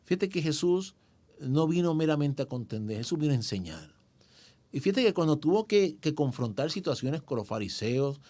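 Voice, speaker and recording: A man's voice: fairly high pitch, darkish tone. A male pastor teaching a Bible study in a didactic, conversational way, speaking directly to his listeners.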